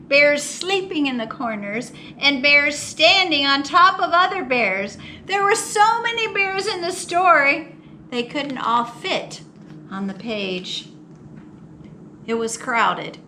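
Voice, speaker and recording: High, bright woman storyteller, slow and expressive. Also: slight background noise. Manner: expressive, theatrical, with mock exasperation and drawn-out words. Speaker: storytime host at a public library. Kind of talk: animated read-aloud of a children's picture book.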